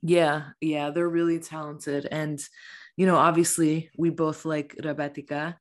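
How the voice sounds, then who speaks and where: Woman hosting a podcast, easygoing chat, kinda flat pitch. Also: unhurried. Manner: friendly, wraps up with repeated thanks. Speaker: podcast host. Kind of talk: casual interview chat.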